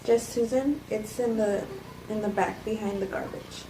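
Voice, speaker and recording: An amateur woman reading a frail, hesitant widow in her fifties. The voice is medium in pitch and sits far from the microphone, with clear noise behind it. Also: fairly even intonation, normal speed, roomy sound, natural voice. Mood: reluctant. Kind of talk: plain cold read of a script by a non-actor. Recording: distant, noisy amateur room recording.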